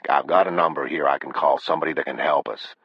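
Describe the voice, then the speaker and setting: A man's voice: rumbling low, steady tone, fast, slightly rough, no echo, muffled recording, heavily acted. A man playing a stubborn, guarded working man from the American South, speaking tersely in an audiobook. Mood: weary reassurance.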